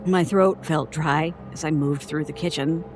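Haunted, halting woman. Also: low-pitched, steady tone. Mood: uneasy, haunted. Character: frightened woman recounting a childhood horror. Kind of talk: audio horror drama monologue. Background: music.

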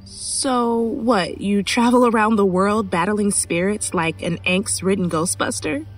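A woman's voice: medium pitch, measured pace, faint music behind, close to an everyday voice. An actress playing a guarded, solitary woman in an audio horror drama, dry and sceptical yet curious, hesitant when pressed. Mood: wry, probing.

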